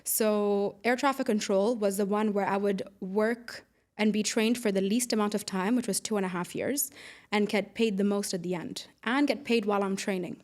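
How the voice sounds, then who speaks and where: Podcast guest: woman from the UAE, mid-pitched, informal conversational explainer and storyteller. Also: moderate pace, a little mellow. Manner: informal, explains with everyday analogies. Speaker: podcast guest, air traffic controller.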